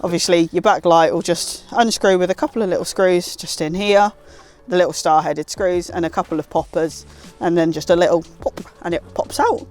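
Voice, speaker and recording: A woman's voice: on the low side, moderate pace, darkish tone, soft background music. British woman, casual and informal. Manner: informal, self-deprecating. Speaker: presenter of a car installation guide. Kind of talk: casual hands-on tutorial talk.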